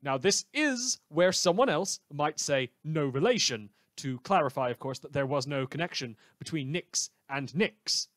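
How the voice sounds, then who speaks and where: A man's voice: fairly high pitch, expressive, moderate pace, few pauses, fairly bright tone, dry recording. Man playing a wry, theatrical radio host, dry sound. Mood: arch, insinuating. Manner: theatrical, wry, repeats phrases for emphasis, digressive. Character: smug, rambling male small-town radio news host. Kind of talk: mock radio broadcast monologue, fan audio drama.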